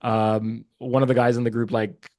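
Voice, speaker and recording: A man from Pakistan, Urdu his first tongue, talks as a podcast guest in a mid-pitched voice. He speaks with eager warmth but stumbles through fillers and restarts. The sound is close and dry, with no room echo. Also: level tone, normal speed. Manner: hesitant, many fillers and restarts, earnest and enthusiastic. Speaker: guest, watch market research business developer. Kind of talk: casual interview chat.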